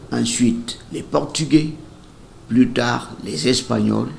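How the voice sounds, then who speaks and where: Slow, deliberate man, slightly gravelly. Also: mid-range voice. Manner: deliberate, emphatic, professorial phrasing. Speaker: expert interviewee. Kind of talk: explanatory interview commentary. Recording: faint ambient background noise.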